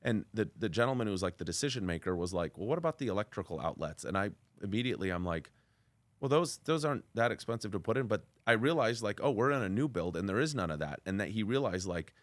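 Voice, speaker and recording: A man hosting a podcast interview, talking loudly and freely in casual conversation. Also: lowish voice, animated, fast, no echo. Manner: loud, talkative. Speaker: podcast host, commercial real estate broker.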